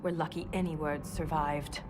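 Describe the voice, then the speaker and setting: An actress playing an earnest, intense scholar of ancient lore in a video game, speaking solemnly and deliberately. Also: female voice, sits low, fairly even intonation, lightly acted. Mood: studious, intrigued. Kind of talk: video game cutscene acting.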